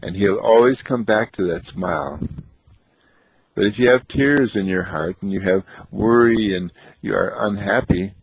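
A gentle, brave boy in a wheelchair, voiced by a man, speaking low, soft and very slowly in soothing reassurance. The voice is gravelly and husky, on a dull lo-fi recording. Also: full character voice.

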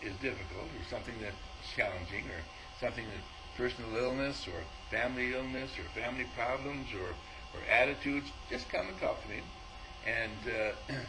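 A man with a mid-pitched voice gives a casual spiritual talk. He acts out both sides of conversations, often chuckling. A faint hiss runs under the recording. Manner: animated storyteller, acts out dialogue, chuckles often. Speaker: spiritual teacher.

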